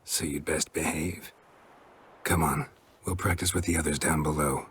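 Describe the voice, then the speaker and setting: A man playing a stoic, fatherly monster hunter in a video game, with a gravelly voice and a terse, dry manner. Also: fairly even intonation, moderate pace, fairly bright tone, heavily acted. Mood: calm, dry. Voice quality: gravelly low voice.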